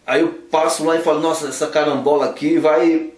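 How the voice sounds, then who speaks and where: A man's voice: fairly high pitch, steady tone, fairly quick, darkish tone. A man from the Northeast, teaching law in a playful, joking way and teasing a sidekick. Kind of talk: joking casual law lesson. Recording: roomy echo, a bit far from the mic.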